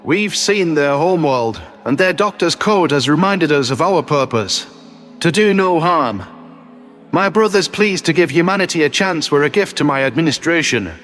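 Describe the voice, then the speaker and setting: A man voicing a calm, principled alien prime minister giving a measured formal speech in a sci-fi audio story. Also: a little high, faint music behind, voice pushed down. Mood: dignified, sincere.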